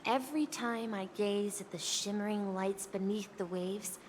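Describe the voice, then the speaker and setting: A woman's voice: mid-range voice, unhurried, darkish tone, slight background noise, natural voice. A woman voicing an earnest, dreamy young boy who tells seafaring stories in a childlike way, in scripted video game acting. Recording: game music behind the voice.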